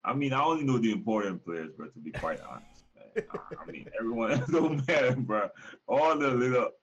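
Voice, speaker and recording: A man's voice: mid-range voice, animated, brisk. A man co-hosting a casual sports chat, laid-back and slangy. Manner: laid-back, slangy, says bro a lot. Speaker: co-host. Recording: muffled lo-fi line.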